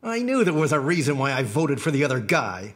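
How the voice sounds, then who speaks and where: Man playing an aggrieved, higher-voiced working guy. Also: big pitch swings, brisk. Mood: baffled. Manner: indignant, complaining. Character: aggrieved working-class male job seeker, grumbling. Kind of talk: comedic audio drama acting.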